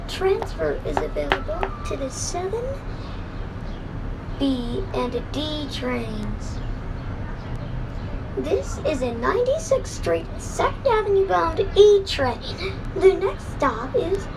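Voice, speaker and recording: High-pitched woman making slow transit announcements. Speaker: subway train announcer. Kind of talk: scripted automated transit announcements. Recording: noisy recording with train rumble. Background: train ambience and vehicle noise.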